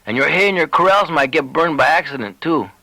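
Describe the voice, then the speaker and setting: A man's voice: on the high side, animated, a little fast, pushed and bright, no room sound, pitched above the performer's own voice, poor audio. A man voicing a defiant small-time farmer in an audiobook, matter-of-fact and taunting. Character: defiant small-time male farmer making veiled threats.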